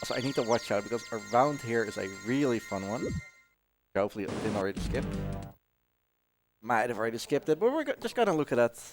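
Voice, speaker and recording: A man, non-native in English, commentates casually on his own video game run. His pitch moves a lot and he rambles into wry asides, with game music clearly behind him. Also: big pitch swings, fast, long pauses. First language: not English. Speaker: speedrunner. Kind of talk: casual live gameplay commentary.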